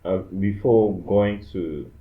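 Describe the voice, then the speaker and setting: A man's voice: on the low side, slow pace. A young man from Congo chatting as a podcast co-host, rambling and filling his talk with "like" and "you know". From Democratic Republic of the Congo. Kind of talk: casual chat. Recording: echoey room, dull lo-fi sound.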